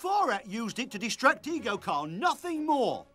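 Big good-natured British working bloke, cartoon, folksy, high lively pitch. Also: male voice, moderate pace, full character voice. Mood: protesting. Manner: folksy, colloquial, earnest. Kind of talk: animated adventure series dubbing.

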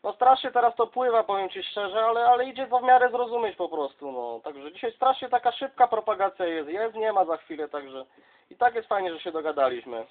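A man's voice: sits high, animated, fairly quick. A man from southern Poland making casual, chummy contact as a CB radio operator, addressing others as colleagues. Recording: dull, lo-fi sound.

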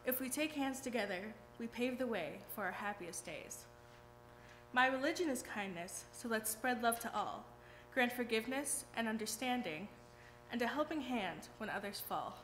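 A woman's voice: a little high, normal speed, soft background music. A young woman reading a rhyming poem aloud at a church lectern during a service. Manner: steady rhymed recitation. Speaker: youth reader. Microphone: lectern mic. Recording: echoey church room.

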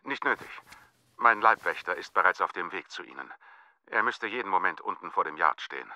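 A grave, courteous businessman on the phone in a radio drama. He is formal and guarded, with a very deep voice and a very sharp, tense edge. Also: lively intonation, a little fast, full character voice. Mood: grave, urgent. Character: grave, courteous Chinese businessman, reserved and anxious. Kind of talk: radio play phone dialogue.

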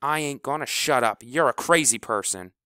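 A man voicing an angry boy bully who shouts accusations in rough, heated speech. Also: lowish voice, animated, a little fast, no room sound, clean studio sound. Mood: angry, accusing.

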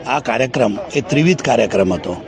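A man's voice: medium pitch, animated, fast talker, crowd noise. A man gives a formal interview statement as a university official. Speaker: university vice-chancellor.

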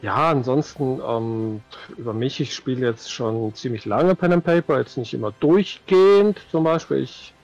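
A man's voice: mid-range voice, moderate pace, darkish tone, muffled recording. A middle-aged man chatting casually as a guest over a headset on a video call. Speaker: guest, new game master. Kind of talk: casual chat. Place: room at home, on video call. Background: music under the voice.

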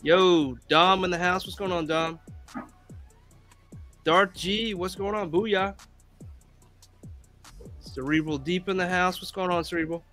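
A man hosting a live stream, sounding nasal from a cold, giving upbeat shout-outs to viewers. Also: sits high, steady tone, unhurried, pauses often, soft background music. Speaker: host. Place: home studio, pink-lit room. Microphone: studio mic on boom.

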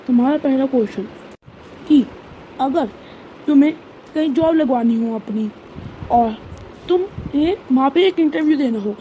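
A woman's voice: high voice, moderate pace, long pauses, warm tone, slight background noise, close to an everyday voice, poor audio. A woman voicing an interviewer who poses riddles in a children's cartoon quiz. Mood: quizzing, composed. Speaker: quiz host.

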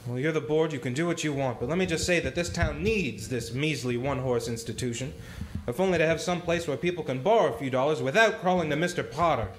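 A man voicing an earnest young man in a community radio play who defends ordinary people and stumbles over his words when upset. His voice is a little high for a man. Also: quick pace, close to an everyday voice. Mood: subdued. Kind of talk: amateur radio play acting.

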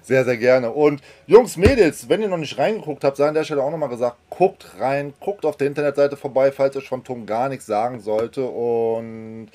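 A man with a medium-pitched voice interviews a guest in a relaxed, chatty style. He is effusive and enthusiastic, speaking like a fan who hosts his own channel. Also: normal speed, few pauses. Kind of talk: casual fan interview chat.

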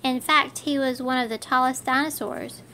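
A woman reading a children's picture book aloud in an animated, encouraging way. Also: high voice, normal speed. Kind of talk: read-aloud children's storybook narration. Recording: faint background noise.

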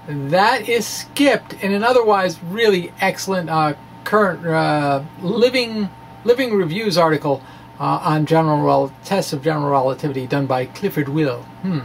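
Theatrical, wry man over clear background noise. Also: a little high, normal speed, low-quality recording. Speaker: science blogger and presenter. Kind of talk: dramatic reading of a physics blog with casual asides. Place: small indoor room with bookshelves. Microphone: microphone on a stand in front of him.

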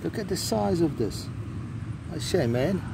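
A middle-aged South African man who grew up speaking Afrikaans, hosting a casual vlog on a coastal trail, talking slowly with many fillers and restarts into a handheld phone. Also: on the high side, animated, gravelly. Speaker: vlogger host. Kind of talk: casual outdoor vlog narration. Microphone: phone camera held by hand. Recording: faint outdoor noise.

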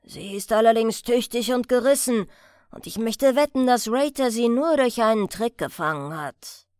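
A woman's voice: a little high, expressive, moderate pace, darkish tone, no echo, clean studio sound, natural voice. A woman voicing a wary, blunt male criminal henchman in an audiobook, objecting plainly. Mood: concerned.

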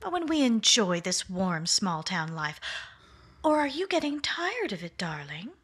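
Brief: actress as a calculating 1940s radio wife, bright tone, slow lively delivery, a little breathy. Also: female voice, medium pitch, exaggerated voice. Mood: silky coaxing. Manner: purring, calls him darling. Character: cool scheming wife, smooth, coaxing.

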